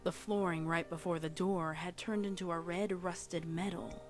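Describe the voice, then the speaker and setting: Woman, slightly low voice, dramatic story narrator, scripted horror reading, music behind. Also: fairly even intonation, normal speed.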